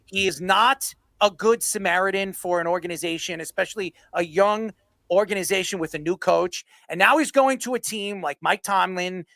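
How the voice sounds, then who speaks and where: Sports radio type host, gravelly creaky voice, super emphatic and opinionated, dry close sound. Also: mid-range voice, normal speed, fairly bright tone, no room sound. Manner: emphatic, declamatory. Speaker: sports talk show host.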